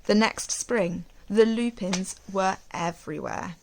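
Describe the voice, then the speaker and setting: A woman teacher reading a storybook aloud to young children, expressively, with gentle questions and asides. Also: mid-range voice, slow pace, a little hiss or hum, rough recording.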